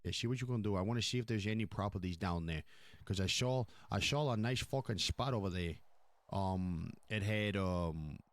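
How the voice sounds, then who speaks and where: Man playing a low-voiced, blunt mafia boss. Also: dry recording, lightly acted. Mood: blunt, decisive. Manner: blunt, profane, repetitive, giving orders. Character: blunt, commanding male mafia boss in New York. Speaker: roleplay player. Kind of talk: improvised in-character crime roleplay.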